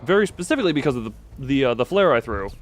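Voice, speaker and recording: A man's voice: mid-range voice, big pitch swings, rapid-fire, a little hiss or hum. Guy streaming a game, rambly and wry, talking to himself, voice goes up and down a lot. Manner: rambling, wry, repeats phrases. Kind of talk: live gameplay commentary, talking to himself and chat.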